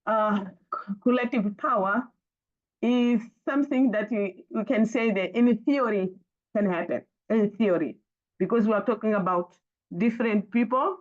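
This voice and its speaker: A woman whose first language is Portuguese, speaking in a casual video-call group discussion, halting with many fillers and restarts. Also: medium pitch. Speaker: fellow sharing in a group call. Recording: muffled video call audio.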